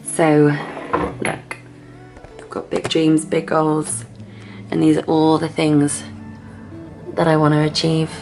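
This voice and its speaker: Twenties woman, lowish croaky voice, chatty and excitable vlogger. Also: slow pace, pauses often, faint music behind. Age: 20s. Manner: chatty, excitable, lots of filler words. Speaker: startup founder. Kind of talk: casual vlog chat to camera.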